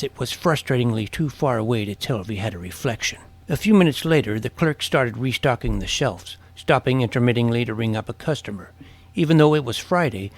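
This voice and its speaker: A man's voice: medium pitch, natural voice. An actor playing a wry twelve-year-old boy detective who narrates his own adventure in an audio drama. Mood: wry, watchful. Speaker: narrator and lead. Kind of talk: scripted first-person story narration. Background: clear music.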